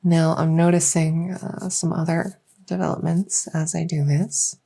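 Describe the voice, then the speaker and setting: A woman playing a gentle, reassuring fairy-dragon clinician in an unhurried, slightly hesitant medical roleplay ASMR. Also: low voice, level tone, gravelly, husky, heavily acted. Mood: clinical, attentive. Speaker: roleplay audio performer.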